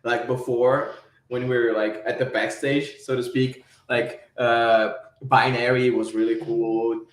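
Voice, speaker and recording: A man's voice: medium pitch, flat delivery, unhurried, roomy sound. A man from Brazil, a podcast guest, explaining things casually and often repeating words as he goes.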